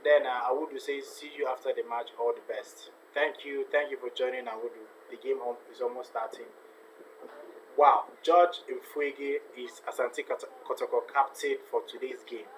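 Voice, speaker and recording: A man's voice: mid-range voice, steady tone, moderate pace, slight background noise, rough recording. A man hosting a live football watch-along stream, chatting casually, repeating himself and reading viewer comments aloud. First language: not a native Ghanaian; speaks with a foreign accent.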